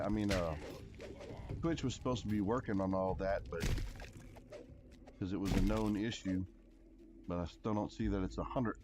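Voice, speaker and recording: A man with a deep voice, casually chatting to viewers as a livestreamer in an easygoing, rambling way. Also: background noise. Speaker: game livestreamer. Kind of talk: casual chat with stream viewers. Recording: music behind. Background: clear background music.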